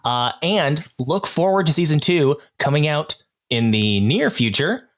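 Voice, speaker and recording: A man's voice: on the high side, very animated, unhurried, fairly bright tone. A man hosting a podcast in a casual, chatty style as he interviews a cast. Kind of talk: casual chat.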